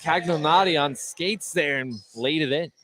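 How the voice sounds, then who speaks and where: Higher-voiced American man calling basketball play-by-play. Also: normal speed, sharp tone. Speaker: play-by-play announcer. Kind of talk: live basketball play-by-play commentary. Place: courtside in an indoor gym. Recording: noisy gym with ball bounces. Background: gym noise, bouncing basketball.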